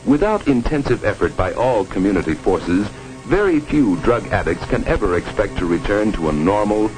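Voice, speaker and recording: Deep, emphatic American male film narrator. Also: poor audio. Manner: grave, emphatic, formal. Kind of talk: 1950s educational film narration, scripted. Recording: old 1950s film soundtrack. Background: music.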